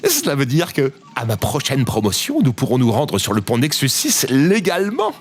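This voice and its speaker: A man playing an eager, scheming ship employee in a French audio drama, speaking in a precise, theatrical, excitable way. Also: medium pitch, moderate pace. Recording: clear background noise and clicks.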